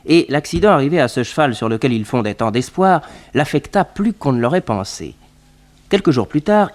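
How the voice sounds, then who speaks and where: An actor narrating a detective serial in early 1960s radio drama style, as a composed storyteller. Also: male voice, medium pitch, a little mellow, natural voice.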